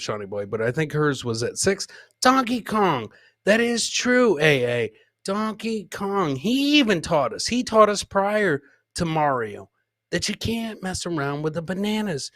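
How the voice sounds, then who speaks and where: A man with a high, forceful voice hosts a comedy podcast, riffing casually on clips. He rambles jokingly and circles back to the same catchphrases, animated and exclamatory. The sound is close and dry. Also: no echo. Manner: rambling, jokey, repeats catchphrases. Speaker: host of a live comedy podcast. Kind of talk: casual live reaction commentary on funny clips.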